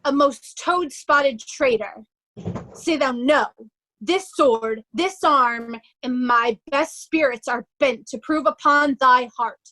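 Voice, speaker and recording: A woman playing a wronged noble knight in Shakespearean reader's theatre, declaiming deliberately as a challenger. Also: sits high, pushed and bright, full character voice, poor audio. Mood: righteous challenge.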